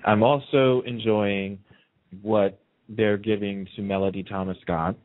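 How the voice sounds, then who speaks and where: A low-pitched man comes through a narrow, phone-like line. He hosts a casual talk show, giving his opinions emphatically and repeating phrases to drive them home. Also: fairly even intonation, a little slow. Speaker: radio show host. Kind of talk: casual opinionated soap opera chat.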